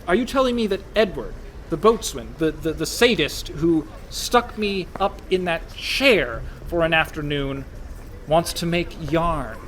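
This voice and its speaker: Fretful, high-voiced con man. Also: expressive, a little slow, fairly bright tone, a little hiss or hum. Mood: exasperated. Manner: dry, exasperated, worrying. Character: fretful, sardonic con man posing as a pirate merchant. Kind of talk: comic radio play acting.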